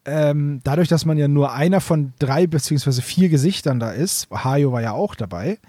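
A male podcast co-host with a fairly high voice, recorded close and dry. He speaks informally, like someone chatting with a colleague. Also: animated, moderate pace, warm tone, breathy edge, dry recording. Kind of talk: casual podcast chat.

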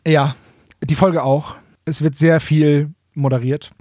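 Male podcast co-host, slightly high voice, dry recording, ironic and playful, chatty delivery. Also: fairly even intonation, takes it slowly, a little mellow, dry recording. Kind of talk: casual chat.

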